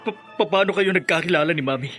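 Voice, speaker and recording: A man's voice: high voice, fast, bright, forceful tone, soft background music. A man acting a bitter, spoiled grown child with a scornful, theatrical delivery in radio melodrama. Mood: shocked, disbelieving.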